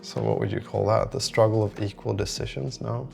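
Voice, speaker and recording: Male vlogger, low gravelly voice, casual unscripted rambling, music underneath. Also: fairly even intonation, brisk, a little mellow. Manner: rambling, thinking aloud. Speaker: solo app developer vlogger. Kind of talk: unscripted casual vlog monologue.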